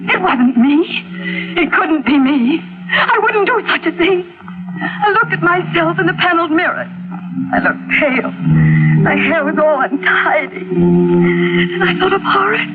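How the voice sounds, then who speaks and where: A woman's voice: very high voice, expressive, measured pace, pauses often, loud music behind the voice, exaggerated voice. An actress playing a jealous, unstable wife who narrates her confession in melodramatic old-time radio style. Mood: frantic. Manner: melodramatic, brooding first-person delivery. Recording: tinny vintage radio recording.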